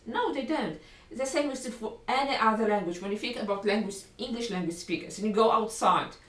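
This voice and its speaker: A woman with a Polish accent, a language teacher giving a lively, encouraging video lesson and often correcting herself mid-sentence. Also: mid-range voice. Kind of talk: video lesson talking to learners. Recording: roomy sound from a distant mic.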